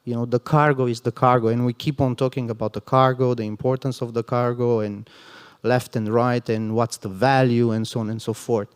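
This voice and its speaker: A man's voice: mid-range voice, level tone, a little fast, clear, resonant tone. A man speaking as a security panelist at a conference, earnest and emphatic, with frequent "you know" asides. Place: conference hall panel stage. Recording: long hall reverb.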